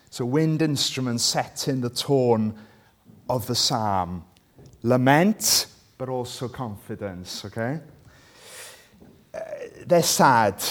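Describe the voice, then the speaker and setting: A Welsh man, a native Welsh speaker, preaching and reading scripture, checking in with listeners through questions. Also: a little high. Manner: conversational, asks listeners rhetorical tag questions.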